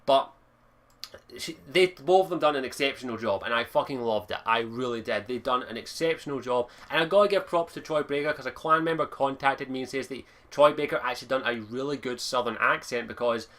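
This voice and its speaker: Young Lowland Scottish guy, game reviewer, bright and punchy, sweary and rambling. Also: brisk. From Scotland. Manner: enthusiastic, frequent swearing, restarts and fillers. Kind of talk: casual video game review monologue.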